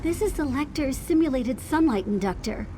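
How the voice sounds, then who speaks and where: A woman's voice: high voice, lively intonation, moderate pace, background noise. A woman playing an earnest, anxious female apprentice investigator with strong moral outrage in a game. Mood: grave, determined. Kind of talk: scripted game dialogue.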